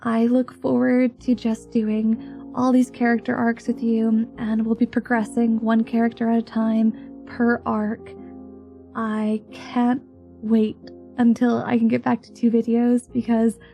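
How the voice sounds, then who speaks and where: A woman's voice: a little high, completely flat delivery, unhurried, vocal fry, mellow, faint music behind. A woman channel host chatting casually and earnestly to her listeners, with an appreciative manner.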